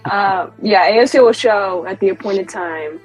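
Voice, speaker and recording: A woman playing a tabletop game, animated and given to putting on character voices. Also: mid-range voice, unhurried, faint music behind, low-quality recording. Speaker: player. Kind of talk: casual tabletop play.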